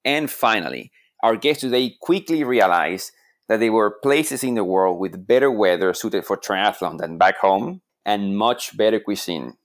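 Low-voiced male co-host, wry, jokey intros. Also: expressive, a little slow. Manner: wry, joking guest introduction. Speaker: podcast co-host. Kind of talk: podcast interview.

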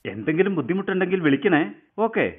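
An actor playing a busy son calling his mother from abroad in a radio play, hurried and dismissive, heard through a phone effect. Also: sits high, sing-song. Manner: hurried, brushing off. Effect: phone call. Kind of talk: radio drama acting. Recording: tinny phone line.

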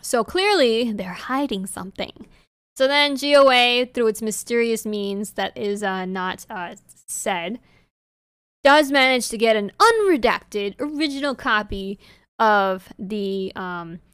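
A woman co-hosting a podcast in casual chat, English not her first language, talking in an animated, rambling way with many fillers. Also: a little high, slow, clear, resonant tone, no echo, clean studio sound. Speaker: podcast co-host.

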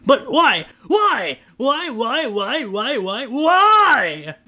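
A man's voice: extremely high pitch, very animated, drawn-out words, sharp tone, voice pushed up, heavily acted. A man doing a whiny dim young man. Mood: whining. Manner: whining, pleading.

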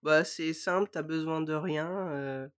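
A woman co-hosting a casual podcast, friendly and quick to laugh, her voice stuffy from a cold. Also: low voice, steady tone. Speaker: podcast co-host.

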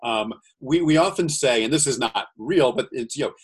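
Husky-voiced American man on a call. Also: medium pitch, lively intonation. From USA. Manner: earnest, reads cards slowly and deliberately. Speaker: guest entrepreneur. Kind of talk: casual video-call chat. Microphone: video call.

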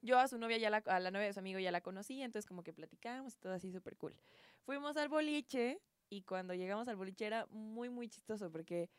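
A woman's voice: mid-range voice, fast, clear, resonant tone, dry recording. Young Mexican woman, casual co-host swapping personal stories with friends, close dry sound. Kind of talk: casual chat and personal anecdotes among friends.

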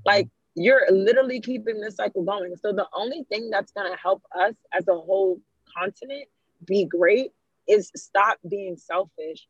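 A woman's voice: mid-range voice, normal speed, breathy edge, no echo. A young woman from Ghana, talking in a casual discussion as a guest, telling stories and acting out the people she quotes. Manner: animated storyteller, quotes people in dialogue. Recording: muffled, slightly lo-fi.

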